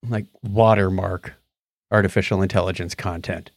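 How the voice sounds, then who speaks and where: A man co-hosting a podcast, talking casually through the news in an animated, playful, bantering way. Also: sits low, normal speed, dry recording, studio quality. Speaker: podcast co-host. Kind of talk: casual conversational news discussion.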